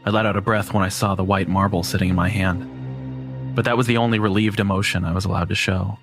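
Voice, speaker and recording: A man's voice: low-pitched, steady tone, brisk, music in the background. A man narrating a scripted first-person horror story.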